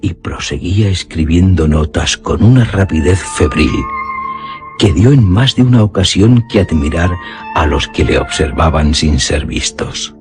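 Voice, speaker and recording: A man's voice: sits low, lively intonation, a little slow. A man narrating a literary radio drama in a solemn, theatrical, emphatic manner. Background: music.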